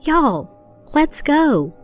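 A woman's voice: high voice, sing-song, extremely slow, no room sound, faint music behind, exaggerated voice. A woman playing a teasing, observant young sister in an audio drama.

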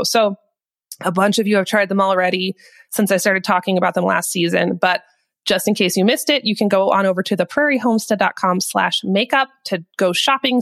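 A woman's voice: mid-range voice, gravelly, no echo, studio quality. A woman podcast host with a head cold, talking in a nasal, upbeat and chatty way to her listeners. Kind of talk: casual podcast chat.

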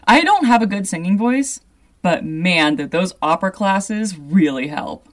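A young woman with a deep, low voice hosts a podcast and tells a story casually. She talks loosely, dropping "like" into her sentences as she goes. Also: animated, a little slow. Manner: chatty, loose, lots of "like" asides. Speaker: podcast host.

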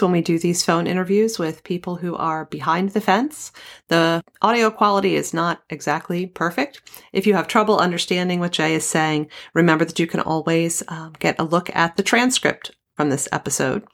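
A woman with a fairly low voice speaks as a podcast host. Her delivery is conversational and emphatic, and she lingers on key words. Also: fairly even intonation, normal speed, creaky. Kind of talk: hosted podcast intro and phone interview.

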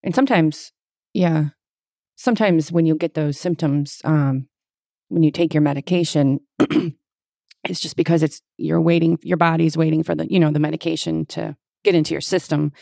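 Chatty woman host, low voice. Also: fairly even intonation, creaky, warm tone, dry recording, studio quality. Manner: encouraging, chatty, quick to laugh. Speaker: physical therapist and podcast host. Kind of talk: casual interview.

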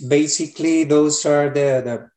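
A middle-aged man with a Colombian Spanish accent talks through his answers haltingly, with many restarts and fillers. His voice sits a little high for a man. The recording has a noticeably echoey room sound. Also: fairly even intonation, dark tone. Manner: hesitant, many fillers and restarts, loose grammar. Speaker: repair shop owner, guest. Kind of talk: conversational interview answers.

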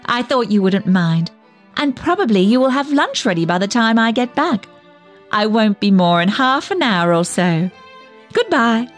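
A woman's voice: fairly high pitch, very animated, moderate pace, soft background music. A woman playing a gossipy, self-righteous village dressmaker in a comic monologue, chatty, nosy, opinionated and tactless as she rambles from one thing to the next. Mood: breezy, excusing herself. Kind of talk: comic dramatic monologue, audio storytelling.

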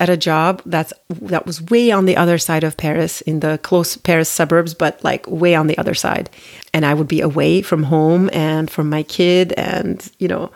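A woman in her forties from Turkey, hosting a podcast and telling her own story in a reflective, conversational way with restarts and fillers. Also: on the low side. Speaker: podcast host. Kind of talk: personal storytelling monologue.

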